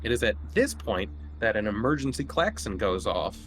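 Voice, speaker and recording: A man running a tabletop horror game, narrating casually and haltingly as he feels out each description. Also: on the low side, sing-song, fairly bright tone. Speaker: game master.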